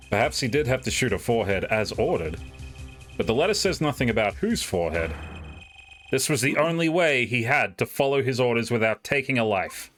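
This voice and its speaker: A man's voice: medium pitch, animated, moderate pace, a bit bright, soft background music, close to an everyday voice. A man voicing a sardonic male defense lawyer with pointed, theatrical questioning in a live game fan dub. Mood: confident, challenging.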